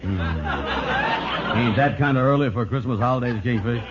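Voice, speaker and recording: A man's voice: mid-range voice, lively intonation, a little slow, natural voice. Man playing a gullible blusterer, muffled old radio. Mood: doubtful but gullible. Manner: blunt, ungrammatical, folksy talk. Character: gullible, blustering, slow-witted man, indignant yet easily fooled. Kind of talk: old-time radio sitcom acting. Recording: noisy, muffled vintage radio recording.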